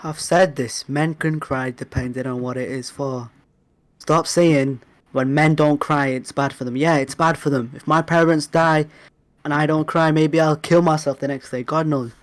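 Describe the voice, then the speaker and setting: A man with a blocked nose argues back defensively in an online debate, sniffling between stubborn points. His voice sits a little high and sounds close and dry, with no room echo. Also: fairly even intonation, moderate pace. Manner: defensive, argumentative, repeats "man". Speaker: debate guest.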